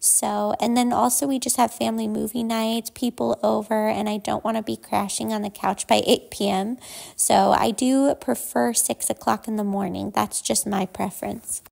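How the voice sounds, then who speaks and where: A woman in her thirties narrates her cleaning vlog in a relaxed, chatty way, explaining each step as she goes. Her voice sits a little high and is full of vocal fry, with a gravelly edge at the ends of her phrases. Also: normal speed. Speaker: home cleaning vlogger. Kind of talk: casual voice-over narration.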